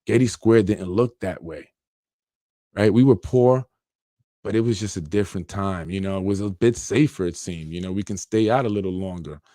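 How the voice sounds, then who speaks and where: An earnest man in his forties from Yonkers, New York, talking straight into the mic on his own podcast. His voice sits fairly low, he repeats himself and leans on "you know," and the room sounds dry and close. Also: normal speed, warm tone, no room sound. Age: 40s. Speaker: podcast host. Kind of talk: solo podcast monologue.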